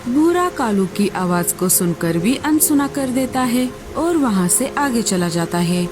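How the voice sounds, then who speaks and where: A woman's voice: a little high, animated, a little slow, over music. A woman giving scripted storybook narration for a cartoon.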